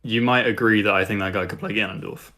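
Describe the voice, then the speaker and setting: A man's voice: on the low side, steady tone, quick pace, bright, forceful tone. A man speaking casually and informally as a panelist on a podcast. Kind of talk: casual chat.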